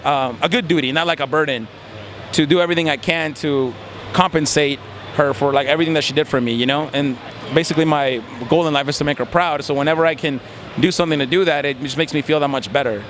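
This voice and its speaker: A man's voice: a little high, fast talker, fairly bright tone, a little hiss or hum. A man in his twenties from Mexico, speaking in a casual interview outdoors, earnest and reflective with many fillers. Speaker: interviewee, competitive gamer and law student.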